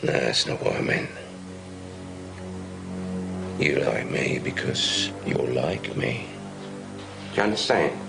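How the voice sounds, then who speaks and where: Chilling old-school philosopher convict, super deep gravelly voice, formal wording, tv drama with music behind. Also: male voice, animated, heavily acted. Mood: measured, knowing. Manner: formal, literary, deliberate wording. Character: philosophical, chilling older prisoner, a confessed murderer. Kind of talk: screen drama acting.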